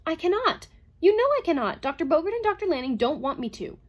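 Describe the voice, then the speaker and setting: A woman's voice: extremely high pitch, sing-song, fast, pitched above the performer's own voice. A woman voicing an anguished, cornered male robot in a dramatized audiobook, pleading and protesting desperately.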